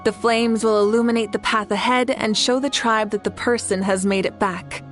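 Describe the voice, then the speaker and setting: A woman acts a young warrior chief in a video game, with a somewhat high, composed voice. She speaks in a measured, encouraging and kindly way over a bed of music. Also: fairly even intonation, close to an everyday voice. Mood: solemn, tender. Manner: measured, reassuring.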